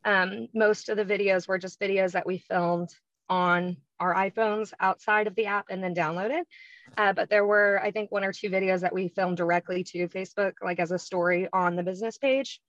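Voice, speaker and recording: A woman talks through her experience in a relaxed, conversational way and fills her pauses with ums. The sound is dry and a bit dull, like a lo-fi recording. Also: mid-range voice, dry recording. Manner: chatty, frequent ums, explains step by step. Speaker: podcast guest. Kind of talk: casual interview talk.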